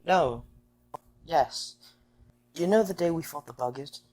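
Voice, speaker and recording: A man's voice: measured pace, a little mellow. A man playing an earnest, downcast teenage schoolboy wizard in an audio drama, speaking hesitantly. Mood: hesitant, curious. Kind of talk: fan audio drama acting. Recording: noisy home mic with low rumble.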